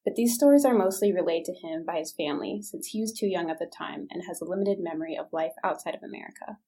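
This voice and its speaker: A woman reading scripted feature narration in an even reportorial tone. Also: lowish voice, fast, breathy edge.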